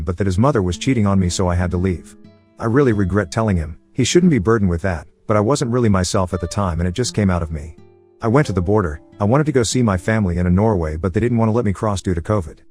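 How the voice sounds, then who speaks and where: A man narrating a forum post in the first person as a scripted story, with a brisk, steady reading manner. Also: lowish voice.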